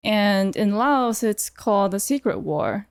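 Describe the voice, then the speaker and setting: Woman, Californian accent, medium pitch, casual interview guest, informal and tentative explaining, dry recording. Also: expressive, measured pace, clear, resonant tone, no echo. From United States (California). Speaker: podcast guest, artist. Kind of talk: casual conversational interview answers.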